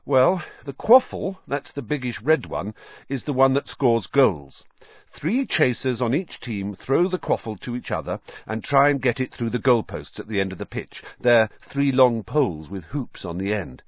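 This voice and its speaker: A man voicing a tired, patient teenage schoolboy who explains things in a flat, resigned way. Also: mid-range voice, moderate pace, dry recording, voice pushed up. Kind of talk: audiobook character voice. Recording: dull, dry lo-fi audio.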